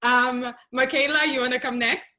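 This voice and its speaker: A woman from Tanzania, a native Swahili speaker, hosting a casual online group chat in a halting, conversational way. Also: high-pitched, fairly even intonation, bright, forceful tone. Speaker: host of a virtual cultural session. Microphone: video call.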